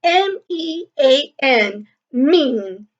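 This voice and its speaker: A woman's voice: high-pitched, expressive, very slow, muffled recording, full character voice. Woman voicing an earnest, hurt young schoolgirl. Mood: determined.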